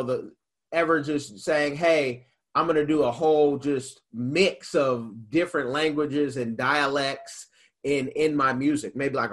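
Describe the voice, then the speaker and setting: A man with an American accent hosting a casual interview, asking long, rambling questions in a conversational way. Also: a little high. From United States. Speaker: interview host.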